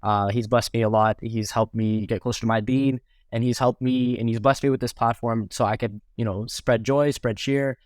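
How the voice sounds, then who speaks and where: A man's voice: medium pitch, fairly even intonation, moderate pace, no room sound, studio quality. A man in his twenties with a Canadian accent, chatting casually as a guest on a podcast. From Toronto area, Canada. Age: 20s. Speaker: podcast guest, food blogger. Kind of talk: casual interview chat.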